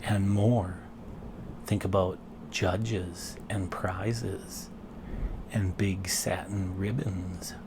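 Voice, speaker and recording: A man voicing an enthusiastic, encouraging wife in a children's storybook, with emphatic, deliberate words. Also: deep voice, fairly even intonation, vocal fry, noisy background, full character voice. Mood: excited.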